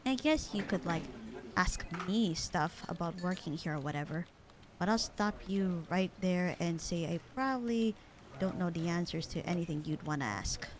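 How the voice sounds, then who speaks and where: A woman playing a bored, sarcastic, slightly flirty secretary in a casual ASMR audio roleplay, with a dry, deadpan, teasing delivery. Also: on the low side, moderate pace, slight background noise, lightly acted. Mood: dry, indifferent. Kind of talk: ASMR roleplay acting, casual one-sided conversation.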